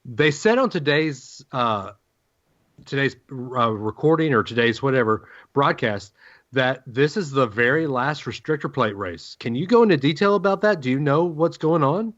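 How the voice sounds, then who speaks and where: A man's voice: medium pitch, lively intonation, normal speed, fairly bright tone. Opinionated male host, dull lo-fi sound. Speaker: sports podcast host. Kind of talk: casual sports banter. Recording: dull, lo-fi audio.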